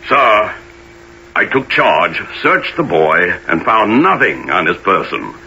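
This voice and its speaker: An actor playing a dutiful, plain-spoken police officer in a radio drama, respectful and matter-of-fact. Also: male voice, on the low side, very animated, takes it slowly, pushed and bright, heavily acted. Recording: dull lo-fi radio recording, faint noise.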